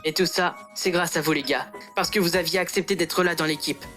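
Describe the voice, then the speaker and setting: A woman's voice: on the low side, fairly even intonation, a little fast, fairly bright tone, lightly acted. A woman playing a sincere, friendly teenage boy who loves basketball in an anime fan dub. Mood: resolved, sincere. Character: earnest, sporty teenage boy, basketball player. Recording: music under the voice.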